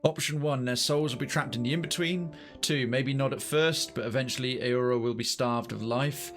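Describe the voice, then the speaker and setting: Male streamer, mid-pitched, reads game text aloud and thinks out loud, faint game music underneath. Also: normal speed. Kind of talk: reading game narration aloud and commenting.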